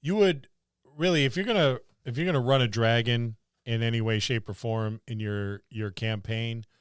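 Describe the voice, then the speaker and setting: A man's voice: medium pitch, normal speed, clear, resonant tone, no echo, clean studio sound. A man co-hosting a podcast, talking casually about running tabletop games. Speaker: podcast co-host. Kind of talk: casual tabletop gaming discussion.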